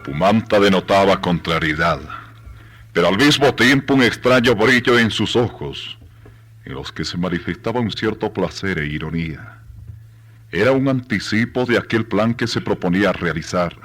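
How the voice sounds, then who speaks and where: A man's voice: deep voice, animated, moderate pace, a bit bright. A man narrating a radio drama in a solemn, suspenseful scripted style.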